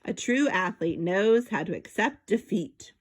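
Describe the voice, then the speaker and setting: A woman's voice: mid-range voice, expressive, a little slow. A woman voicing a cheeky male mouse detective from a children's storybook, perky and mocking. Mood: teasing.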